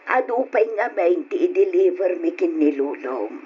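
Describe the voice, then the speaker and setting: An actress playing a caring, solemn old grandmother with an Ilocano accent, gently admonishing in old radio drama style. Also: fairly low pitch, big pitch swings, normal speed. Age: older. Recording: noisy lo-fi radio drama recording.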